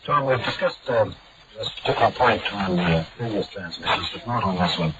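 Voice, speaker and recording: A man interviewing a reporter on air, asking questions deliberately with filler pauses. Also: on the low side, lively intonation. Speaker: studio interviewer. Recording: muffled hissy old shortwave broadcast.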